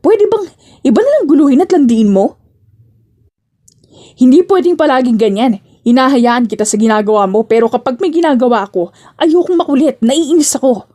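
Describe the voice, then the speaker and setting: Woman voicing a stern, brooding male boss, mellow tone. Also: high-pitched, animated, fairly quick. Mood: irritated. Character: cold, brooding male boss, serious and impatient lover. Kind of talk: audio drama romance acting.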